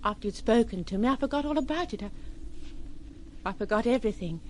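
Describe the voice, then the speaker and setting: A woman's voice: a little high, lively intonation, fast, darkish tone, slight background noise, natural voice. An actress in an audio drama playing an earnest, devoted and uneasy young woman who speaks plainly and searchingly. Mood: anxious, earnest.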